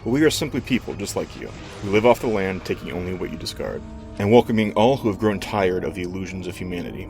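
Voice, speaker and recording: A man's voice: low-pitched, animated, fast talker, creaky, music in the background. A man acting as a philosophical, solemn leader of underground outcasts in a comic dub. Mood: calm, solemn.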